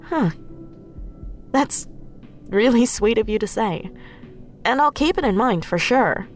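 A woman's voice: fairly high pitch, animated, slow. Confident young hacker woman, music behind. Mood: thoughtful, amused. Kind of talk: naturalistic audio drama dialogue.

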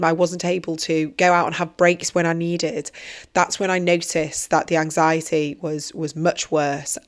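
Hoarse woman hosting a podcast. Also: on the low side. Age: 40s. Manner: earnest, reflective, personal. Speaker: podcast host and wellbeing coach. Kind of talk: solo podcast trailer monologue.

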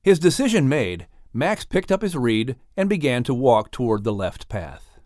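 A male audiobook narrator with a mid-pitched voice tells a children's story. His delivery is animated and dramatic, with careful phrasing and a dry studio sound. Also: normal speed, no echo. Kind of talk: expressive scripted storybook narration.

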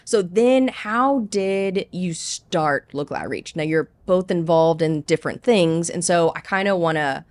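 A woman's voice: lowish voice, unhurried, hardly any pauses. A woman hosting a casual church podcast chat, affirming and encouraging her guests. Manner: affirming, frequent encouraging backchannels. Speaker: podcast host.

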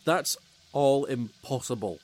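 A man acting a frightened male crew member woken from stasis, halting and disbelieving. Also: mid-range voice, natural voice. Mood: anxious. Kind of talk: audio drama acting.

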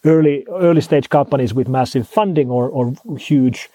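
A man's voice: mid-range voice, normal speed, mellow, no room sound. A man with a Finnish accent, a native Finnish speaker, talking as an interview guest with dry humor and frequent repeated words. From Finland. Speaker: guest author. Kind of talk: conversational interview.

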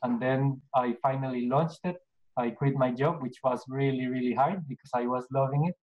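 Colombian man talking in halting restarts. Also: mid-range voice, steady tone, dull audio. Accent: Colombian Spanish. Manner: halting, many restarts and fillers. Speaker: podcast guest, business owner. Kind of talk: casual interview answers.

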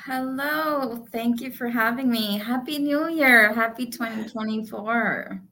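A woman's voice: on the high side, a little slow, sharp tone. Earnest lady from india, podcast guest, upbeat and a lot of ums. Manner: earnest, positive, many fillers. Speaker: guest, business coach. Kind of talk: casual interview.

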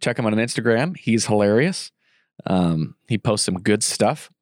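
A man's voice: lowish voice, animated, moderate pace, no echo, clean studio sound. A congested man hosting a casual podcast, rambling with fillers and restarts. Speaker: podcast host.

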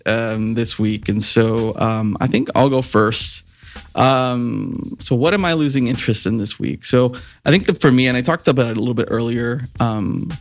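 A man co-hosting a casual podcast, laughing often and swearing freely, turning earnest when serious. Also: mid-range voice. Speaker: podcast co-host. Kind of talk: casual chat.

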